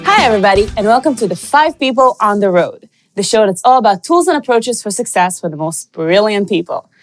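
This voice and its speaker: A woman hosts an interview podcast. She introduces the show and asks her guest curious, friendly questions. Her voice sits at an ordinary middle pitch for a woman. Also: lively intonation, brisk, soft background music. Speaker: podcast host.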